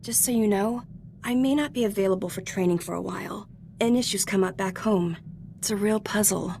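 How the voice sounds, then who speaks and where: A woman's voice: medium pitch, a little fast, vocal fry, a little mellow, a little hiss or hum, lightly acted. An actress playing a modest, eager young female Jedi apprentice in a video game, earnest and a little hesitant in a conversational way. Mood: friendly, sheepish. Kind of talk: scripted video game voice acting.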